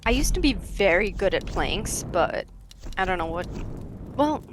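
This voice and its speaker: A woman with a calming voice, chatting casually and softly as a co-streamer on a game stream. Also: mid-range voice, unhurried, noisy background.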